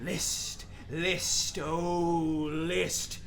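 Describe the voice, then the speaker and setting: Murdered father's ghost: bright, forceful, very slow, theatrical outcries, anguished, for Shakespeare radio drama. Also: a little high, flat delivery, vocal fry, exaggerated voice. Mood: solemn, mournful. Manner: theatrical, drawn-out declamation with outcries. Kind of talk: live Shakespeare radio play acting.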